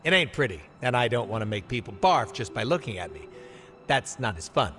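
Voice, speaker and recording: An actor playing a cocky, irreverent mercenary antihero in a video game, with a jokey, sarcastic, theatrical delivery. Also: male voice, medium pitch, very animated, fairly quick, a bit bright. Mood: flippant. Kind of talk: video game cutscene acting.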